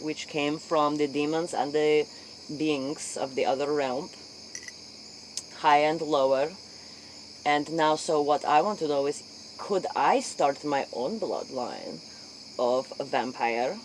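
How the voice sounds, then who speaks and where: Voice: medium pitch, takes it slowly, poor audio. An inquisitive interviewer plays out a spoof late-night chat. The voice sits mid-range and speaks a put-on, foreign-sounding broken English. Faint noise with crickets and insects runs behind it.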